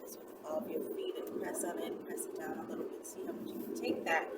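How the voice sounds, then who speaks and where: A woman's voice: sits low, little pitch movement, fast talker, in a whisper. A woman hosting a casual instructional vlog in a conversational, playful way, often checking in with tag questions. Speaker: channel host. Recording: very noisy, distant mic.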